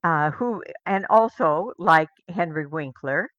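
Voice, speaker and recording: Slow-paced woman in dry studio. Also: on the low side, fairly bright tone, no echo, muffled recording. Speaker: radio co-host. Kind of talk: casual studio banter.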